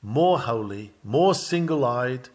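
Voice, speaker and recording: A man's voice: medium pitch, animated, slow, darkish tone. A man reading a written sermon aloud in an earnest, emphatic and formal preaching manner. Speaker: reader of a sermon text.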